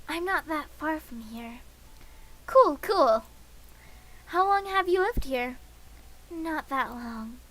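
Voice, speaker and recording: Girl streamer w a really high bright voice, reads visual novel lines out loud, takes her time, kinda playful. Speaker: game streamer. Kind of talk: reading visual novel dialogue aloud on a casual livestream.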